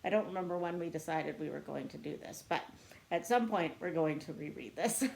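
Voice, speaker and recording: A woman's voice: deep voice, brisk, creaky, darkish tone, a little hiss or hum. A woman book vlogger chatting casually to camera in a chatty, self-deprecating way. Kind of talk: casual chat to camera answering book tag questions.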